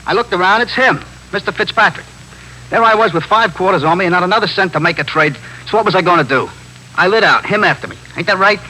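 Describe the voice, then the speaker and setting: A small-time street pusher in a 1950s radio drama. His bright, forceful voice races through slangy, self-justifying stories. The old recording carries a faint hum and hiss. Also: high voice, fast talker, rough recording. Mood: animated storytelling.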